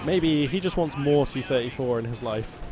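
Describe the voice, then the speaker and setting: A man's voice: mid-range voice, moderate pace. A British man giving rambling, jokey live commentary on a strategy game. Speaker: game caster. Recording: loud game battle sounds behind. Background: gunfire, artillery and vehicle noise from the game.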